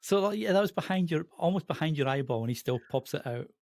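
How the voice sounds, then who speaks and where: A man's voice: on the high side, animated, fast talker, darkish tone, no room sound, clean studio sound. A man hosting an interview podcast, reacting and asking questions in a casual, conversational style.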